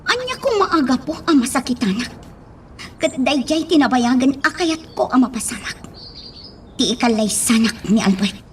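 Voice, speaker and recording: An actress playing a distressed, insistent young daughter in a radio love drama, with a Filipino accent. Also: female voice, sits high, expressive, moderate pace, slight background noise. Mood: accusing, hurt.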